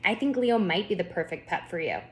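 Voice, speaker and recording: A woman's voice: mid-range voice, animated, a little fast, a little hiss or hum, lightly acted. Woman reading as a kind, encouraging mom. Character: kind, encouraging mother of a young girl. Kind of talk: children's storybook read-aloud.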